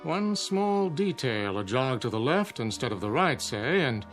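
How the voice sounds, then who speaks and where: An actor playing an older, philosophical gentleman in a radio drama, wry and deliberate. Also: mid-range voice, sing-song, faint music behind. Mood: wry, knowing. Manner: deliberate, knowing.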